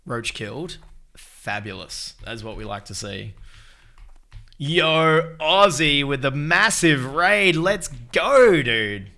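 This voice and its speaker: Excitable man streaming a game. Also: a little high, slow. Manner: excitable, swears, shouts out chat members. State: recovering from losing his voice. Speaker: live-streamer playing a game as tank. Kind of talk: casual gaming stream chat.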